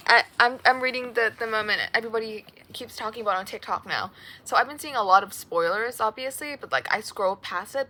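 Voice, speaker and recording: A woman with a light Thai accent chatting excitably and dramatically as she reacts to a book she is reading, full of restarts. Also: medium pitch. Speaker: book vlogger. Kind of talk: casual reading reaction vlog. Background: faint background music.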